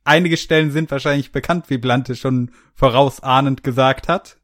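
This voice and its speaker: A man's voice: mid-range voice, fairly even intonation, moderate pace, a bit bright. A man co-hosting a podcast in casual conversation. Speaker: podcast co-host. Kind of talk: casual chat.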